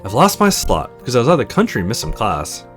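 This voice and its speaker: Man voicing a sharp-tongued, exasperated young woman. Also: mid-range voice, huge pitch swings, fast talker, faint music behind. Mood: resigned, sincere. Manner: sarcastic, blunt, profane.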